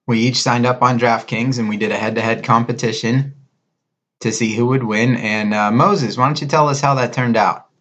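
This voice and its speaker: A male podcast host with a mid-pitched voice, talking to listeners in a presenter's conversational way. The recording is a little dull and band-limited. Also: steady tone, normal speed, a bit bright. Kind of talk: conversational podcast talk, sponsor reads and scripted narration.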